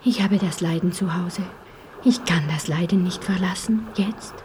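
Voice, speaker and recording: Actress doing a devoted woman in love, dark mellow voice, grave and theatrical, old-style radio play feel. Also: fairly low pitch, normal speed, a little hiss or hum, close to an everyday voice. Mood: fervent, grave. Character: devoted, resolute woman in love, solemn, self-sacrificing. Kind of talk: German radio play acting.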